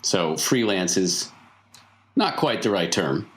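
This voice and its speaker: A man's voice: low-pitched, sing-song, slow, slight background noise, full character voice. A man playing a matter-of-fact circus clown in a tabletop game, explaining things casually.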